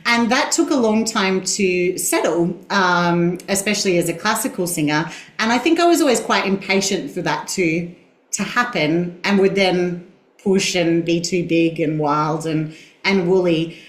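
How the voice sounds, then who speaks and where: Interview guest, woman in her 40s, singing teacher, low boomy mezzo, reflective and self-deprecating, roomy echo. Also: unhurried. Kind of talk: conversational interview.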